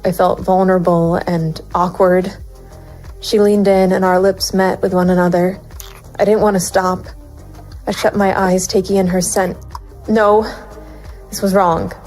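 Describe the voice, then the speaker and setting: A woman's voice: on the low side, level tone, unhurried, darkish tone, soft background music, natural voice, low-quality recording. A woman playing a brooding, conflicted private investigator narrating a noir audio drama. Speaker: narrator and lead actor.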